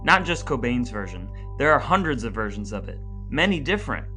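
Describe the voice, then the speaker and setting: A man with a bright, crisp-edged voice at an average pitch co-hosts a podcast. His talk moves between prepared lines and conversation, with playfully grandiose phrasing. Faint music sits behind him. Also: sing-song, a little fast. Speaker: podcast co-host. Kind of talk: conversational podcast talk with semi-scripted intro.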